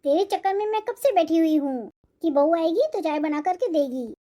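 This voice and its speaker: A woman's voice: very high voice, expressive, moderate pace, mellow. A woman voicing a nagging older mother-in-law in a cartoon family drama, with a complaining manner. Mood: scolding. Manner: scolding, complaining.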